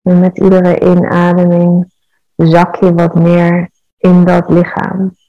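A woman leading a guided meditation in a calm, measured, soothing manner. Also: lowish voice, no echo, dull audio.

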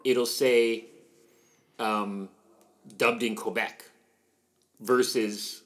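Canadian guy co-hosting a podcast, chatty and animated, kinda nerdy. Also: fairly low pitch, drawn-out words. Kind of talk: casual chat.

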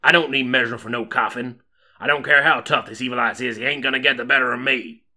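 A man's voice: mid-range voice, steady tone, quick pace, bright, forceful tone. A man voicing a defiant, heroic Wild West sheriff in a bold, folksy, declamatory way. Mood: stubborn.

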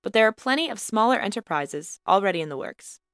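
A woman narrating a student podcast episode in a measured, lightly wry scripted style. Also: medium pitch, lively intonation, a bit bright. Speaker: podcast host and student reporter.

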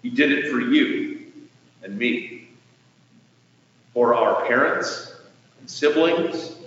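An American man preaching a sermon as a pastor, speaking deliberately with rhetorical questions and pauses for emphasis. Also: mid-range voice. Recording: echoey hall, distant mic, muffled sound.

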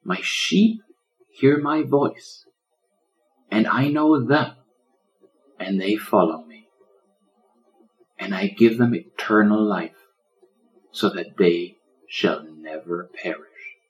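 A man plays an old storybook lion, gentle and protective. The voice is low and very unhurried, with solemn, loving delivery like a shepherd preaching to a flock. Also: expressive, soft background music, poor audio. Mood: earnest, reassuring.

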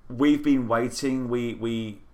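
A man's voice: medium pitch, steady tone, takes it slowly. A British man co-hosting a podcast, talking in a chatty, rambling, jokey way. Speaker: podcast co-host. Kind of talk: casual chat.

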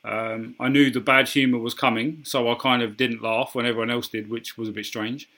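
A man co-hosting a casual podcast conversation. His voice is bright and at a middling pitch. He talks in a rambling way, with frequent ums and self-corrections. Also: level tone, brisk. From England. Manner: chatty, hesitant with frequent ums and restarts. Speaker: podcast co-host. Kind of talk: casual film discussion.

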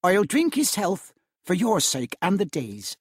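A man voicing an indignant, sharp-tongued Victorian mother, sarcastic and scolding, in a storybook reading. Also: sits high, wildly swooping pitch, a little slow, slightly rough, dry recording, clean studio sound, pitched above the performer's own voice, heavily acted.

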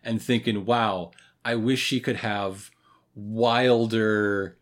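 A podcast co-host in their thirties chatting casually in a review, often pausing mid-thought. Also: medium pitch, steady tone. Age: 30s. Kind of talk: casual review chat about a cartoon.

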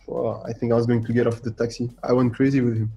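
A man's voice: mid-range voice, quick pace, gravelly, dull audio, soft background music. A middle-aged man with a French accent, a guest artist in a radio interview, talking animatedly, firing rhetorical questions and acting out what others said. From France. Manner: animated, fires rhetorical questions, acts out quoted voices.

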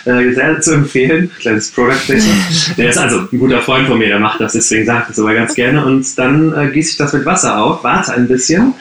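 A man's voice: medium pitch, a little fast, few pauses, a crowd in the background. A man in his late thirties from the Ruhr area, answering interview questions casually and with many hesitations. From Ruhr area, Germany. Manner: hesitant, many fillers and restarts. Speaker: coworking space co-founder, podcast guest. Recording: roomy echo.

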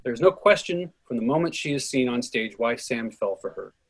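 Man, husky mid-pitched voice, scripted narration, stage directions reader. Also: lively intonation, brisk.

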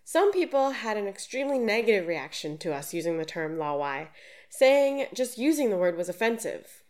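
A woman co-hosting a podcast, reading scripted explanations in a lively, explanatory way. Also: medium pitch, normal speed. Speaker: podcast co-host.

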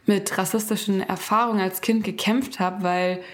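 A woman's voice: mid-range voice, fairly even intonation, moderate pace. A woman co-hosting a podcast, talking in a conversational, hesitant and reflective way. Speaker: podcast co-host.

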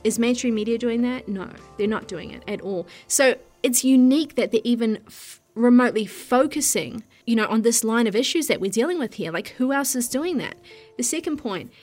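A woman's voice: on the high side, expressive, normal speed, a little mellow, soft background music. A woman in her thirties from New Zealand with an Australian-tinged accent, a news host talking earnestly and passionately in a casual interview, with fillers like "you know" and "look". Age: 30s.

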